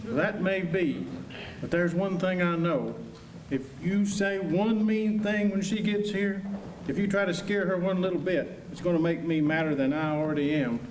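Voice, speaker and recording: A man's voice: high voice, moderate pace, lightly acted. A man playing a loyal young marshal's assistant in a staged radio western, folksy, earnest and protective. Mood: upset, defiant. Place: high school auditorium stage. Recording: echoey auditorium, distant mic, faint noise.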